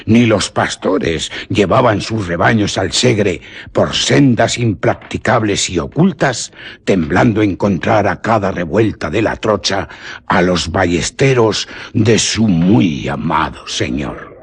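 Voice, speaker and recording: A man with a husky, rough-edged voice, narrating a suspense story theatrically and deliberately in literary radio-theatre style. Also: low voice, expressive.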